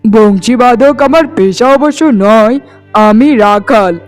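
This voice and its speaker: A man's voice: high-pitched, measured pace, soft background music. A man playing a meek young village cowherd in a cartoon fairy tale, speaking in a polite, pleading manner. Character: lost, hungry young cowherd flute player, meek and smitten.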